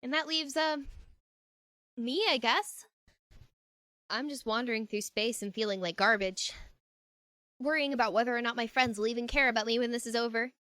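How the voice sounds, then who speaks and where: A high-pitched, earnest teenage girl, played by a woman, lonely and anxious beneath her nerdy chatter. She talks in a rambling, conversational way for a fan-made audio drama, recorded close and dry. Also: normal speed, pauses often, bright, forceful tone, no echo. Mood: despairing. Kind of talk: amateur fan-fiction audio drama acting.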